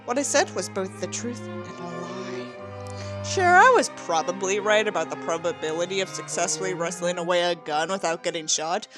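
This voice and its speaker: A woman doing a sarcastic dramatic reading, with theatrical, mocking delivery and sweary commentary. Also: mid-range voice. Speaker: host reading a book aloud with asides.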